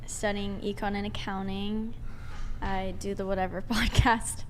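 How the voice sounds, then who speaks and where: A woman's voice: mid-range voice, level tone, a little slow, slight background noise. An eighteen-year-old woman, a co-host on the show, chatting in a giggly, easygoing way. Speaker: podcast co-host. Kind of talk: casual chat.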